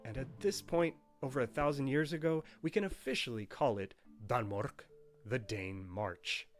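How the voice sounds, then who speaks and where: A man's voice: mid-range voice, normal speed. A man narrating a scripted history of a language in a playful, wry storytelling manner. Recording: narration with faint background music.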